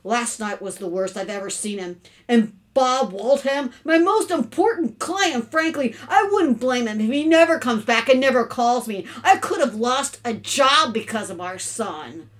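A woman's voice: a little high, animated, unhurried, few pauses, pitched above the performer's own voice. A woman voicing an angry, grumpy father in a children's storybook, emphatic and indignant. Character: angry, exasperated grumpy father, adult man. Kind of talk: children's storybook read-aloud.

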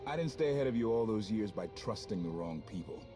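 An actor playing a confident, easygoing ex-officer rogue in a video game, casual and folksy. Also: male voice, fairly low pitch, lively intonation, fast, over music, natural voice. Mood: wary. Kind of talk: video game cutscene acting.

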